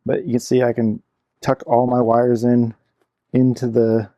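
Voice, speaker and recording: A man's voice: medium pitch, completely flat delivery, a little slow, dark tone, no room sound. A man giving a casual hands-on product review in an informal, explanatory way, repeating himself as he goes. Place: indoor room at a cluttered computer desk.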